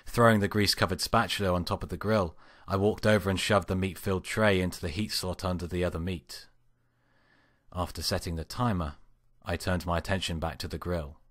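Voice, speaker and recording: Deep-voiced man reading a story aloud. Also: steady tone, a little fast, fairly bright tone, dry recording, studio quality. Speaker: amateur story reader. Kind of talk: dramatic narration of fan fiction.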